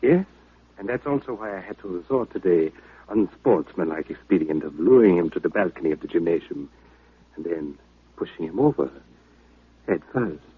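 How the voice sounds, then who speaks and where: Deep husky suave villain doctor. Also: male voice, expressive, normal speed. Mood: smug menace. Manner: formal, smug, genteel. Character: suave, pompous villainous male doctor, coolly menacing. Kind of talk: 1950s radio drama acting. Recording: narrow, tinny old-time radio recording.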